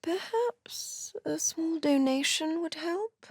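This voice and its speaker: A woman playing a cruel, zealous cult prison warden in a fantasy video game, haughty and sardonic, with measured, threatening delivery. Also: very high-pitched, lively intonation, no room sound, studio quality, heavily acted. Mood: sardonic contempt. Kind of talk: video game character voice acting.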